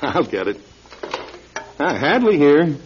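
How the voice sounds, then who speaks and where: An actor playing a troubled, earnest middle-aged solid citizen with a formal manner in a classic radio drama. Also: male voice, a little high, big pitch swings, slow pace, background noise. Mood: earnest, relaxed. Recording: dull lo-fi radio recording, music behind.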